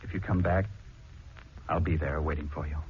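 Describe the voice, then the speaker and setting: An actor playing a blunt, emphatic middle-aged husband in a 1940s radio drama. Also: male voice, extremely low pitch, fairly even intonation, moderate pace, breathy edge, exaggerated voice. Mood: firm, resolute. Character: plain-spoken middle-aged husband, forceful, protective of his wife. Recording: dull 1940s radio recording.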